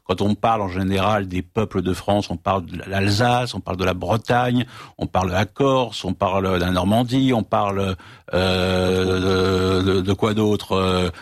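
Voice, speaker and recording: Host, man, lowish voice, dry close sound, probing and hesitant. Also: fairly even intonation, measured pace, no echo. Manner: questioning, hesitant, many fillers. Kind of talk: moderating a discussion.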